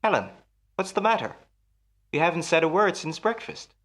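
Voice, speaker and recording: A man's voice: a little high, animated, moderate pace, fairly bright tone. An actor in a radio play as a jealous husband, musing theatrically and teasing his wife with menace. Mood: breezy.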